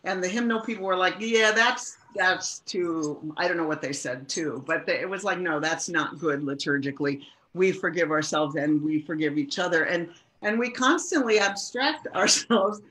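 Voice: medium pitch, moderate pace, hardly any pauses, sharp tone, poor audio. Reflective panelist telling a story. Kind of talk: panel discussion.

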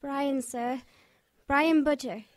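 A cheeky wartime lad, voiced by a woman. He is excitable and boyish, in radio drama style. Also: high-pitched, slow pace, no room sound, clean studio sound. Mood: pleading.

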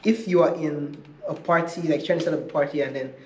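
A man's voice: a little high, fairly even intonation, a little fast. A man casually hosting an unscripted unboxing video, chatting informally and thinking aloud as he reviews a product. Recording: echoey room with clear background noise.

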